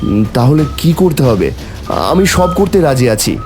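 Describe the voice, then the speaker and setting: A man's voice: mid-range voice, very animated, unhurried. A man playing an earnest, brave young hero who tells and acts out a horror cartoon story. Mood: determined. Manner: dramatic, earnest first-person storytelling. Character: brave, earnest young man seeking his dead lover. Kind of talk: horror cartoon narration and dialogue. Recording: music behind the voice.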